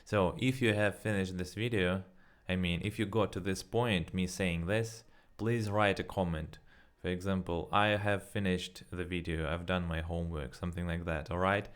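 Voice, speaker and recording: Non-native English-speaking young man, deep clear voice, loose casual vlog chat full of fillers and restarts. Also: steady tone, normal speed, hardly any pauses. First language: not English. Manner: rambling, self-correcting, frequent fillers. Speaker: vlogger. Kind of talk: casual spoken practice vlog.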